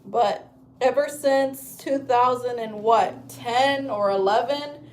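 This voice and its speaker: A woman's voice: on the high side, takes it slowly, a little hiss or hum. A woman in her thirties, from Chile, chatting casually on a livestream from home, rambling and swearing freely. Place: at home, indoors.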